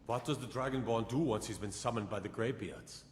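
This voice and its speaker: An actor playing a courteous, inquisitive fantasy game follower with a measured, formal delivery. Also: male voice, mid-range voice, a little hiss or hum, close to an everyday voice. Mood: curious, polite. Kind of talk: scripted video game dialogue. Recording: echoing, reverberant game audio under loud music.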